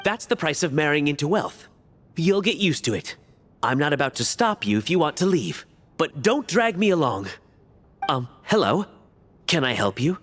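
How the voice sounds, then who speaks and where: A man's voice: a little high, very animated, normal speed, a bit bright, a little hiss or hum. An actor playing a weary, self-interested man in a video game, grumbling dryly in a reverberant space. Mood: dismissive, dry. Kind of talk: dramatic video game voice acting. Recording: reverberant hall sound.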